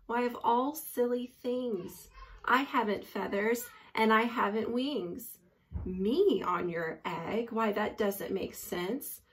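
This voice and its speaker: Storybook elephant, played by a woman: huge, gentle male, faithful and earnest, unhurried delivery. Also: on the high side. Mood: amused, incredulous. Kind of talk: storybook character voice read aloud.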